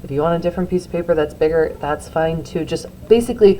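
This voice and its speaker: A woman's voice: sits low, fast, warm tone, slight background noise. Chatty woman teacher explaining an art task. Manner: chatty, encouraging, with filler words. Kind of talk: classroom instruction video.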